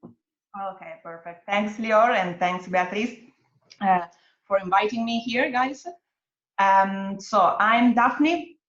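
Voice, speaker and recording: A woman's voice: mid-range voice, takes it slowly, a bit bright. A woman with an Italian accent, a guest explaining things in friendly, searching speech on a video call. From Italy. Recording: muffled roomy video call audio.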